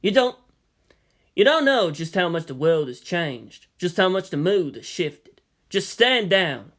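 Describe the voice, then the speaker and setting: A narrator playing a weary male army general, formal and measured, who reasons and pleads firmly. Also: medium pitch, fairly bright tone, close to an everyday voice. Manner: measured, official, placating.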